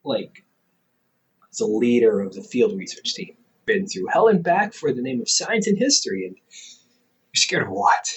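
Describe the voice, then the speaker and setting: A man playing a teasing, reassuring older brother, a scholar in his thirties, in an intimate, casual audio roleplay with halting, conversational delivery. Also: mid-range voice, expressive, vocal fry, a bit bright, poor audio. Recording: hobby microphone with faint background noise.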